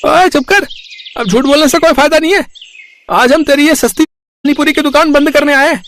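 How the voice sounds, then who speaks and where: A man voicing a boastful, scheming male panipuri seller in an animated village story, pushy and loud. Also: very high voice, fairly quick, a little hiss or hum. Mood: angry. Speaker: cartoon voice actor.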